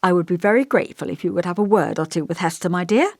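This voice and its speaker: An elderly village vicar from an audiobook, acted out by a woman, kindly and long-winded. The speech is genteel and old-fashioned, a little pompous, and musing. The pitch moves around freely, and the sound is dry. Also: expressive, fast talker, darkish tone, no echo. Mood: earnest, reproving. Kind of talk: audiobook character dialogue.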